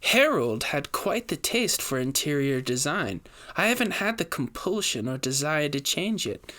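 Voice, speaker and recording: A man voicing an elegant, seductive, wealthy society hostess with genteel, measured, formal speech in an audio story. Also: fairly high pitch, sing-song, pushed and bright, exaggerated voice. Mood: gracious, poised.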